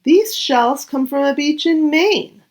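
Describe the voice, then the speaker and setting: A woman's voice: high-pitched, slow, darkish tone, pitched above the performer's own voice. A woman voicing young schoolchildren from a storybook, proud and blunt, with emphatic sing-song delivery. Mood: proud, boastful.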